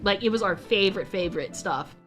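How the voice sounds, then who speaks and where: A woman's voice: medium pitch, fairly quick, a bit bright, soft background music. A young woman streamer chatting casually, rambling, self-interrupting and often giggling. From United States. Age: young adult.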